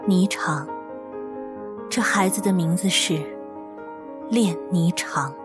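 A woman's voice: fairly low pitch, over music. A woman playing a composed, commanding martial-arts master in a Chinese period audio drama, speaking formally. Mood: solemn. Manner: solemn, measured, formal.

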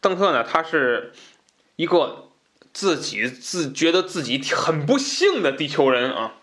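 A man's voice: a little high, big pitch swings, measured pace, a bit bright. A man hosting a podcast, explaining a book in an informal, conversational way, with asides and repeated phrases for emphasis. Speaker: podcast host.

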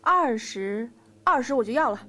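A young woman acting a thrifty, sharp-tongued working wife in an amateur student radio drama. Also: a little high, lively intonation, moderate pace. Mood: bargaining. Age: young adult. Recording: loud music behind the voice. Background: music with violin.

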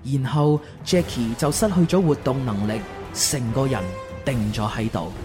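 A man's voice: medium pitch, animated, normal speed. A man narrating a scripted radio drama as a storyteller. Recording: music clearly behind the voice.

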